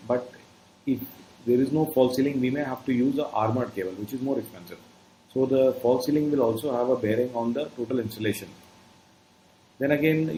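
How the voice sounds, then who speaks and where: A man's voice: mid-range voice, darkish tone. Indian-accented male trainer in an echoey room. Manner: didactic, often checking in with "okay". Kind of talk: instructional lecture, explaining step by step. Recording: roomy echo, faint background noise.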